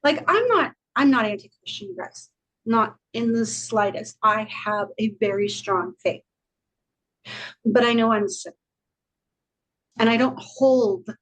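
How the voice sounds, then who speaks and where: A woman's voice: on the high side, rough recording. A woman co-hosting a podcast, talking frankly and earnestly in casual chat and laughing easily. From United States. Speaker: podcast co-host.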